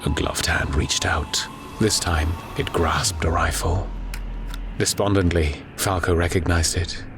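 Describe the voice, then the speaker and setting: Male narrator for grim war fiction: low, grave, very even delivery over clearly audible ambience. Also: measured pace, background noise. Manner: grave, solemn. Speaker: audiobook narrator. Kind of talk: dramatic scripted horror war narration.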